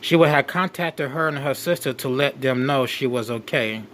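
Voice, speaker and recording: A man hosting a show, reading a document aloud with off-the-cuff commentary in a halting way, repeating and rephrasing as he goes. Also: mid-range voice, a bit bright, slight background noise. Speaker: late night show host.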